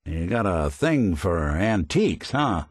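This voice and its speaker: An old synthetic male detective from a noir-flavored video game, American and world-weary. The voice sits on the low side but moves with lively, expressive swings. He wisecracks in a hard-boiled, sarcastic drawl, recorded very dry with no room around it. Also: slow pace, husky, no echo, full character voice. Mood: dry, wisecracking.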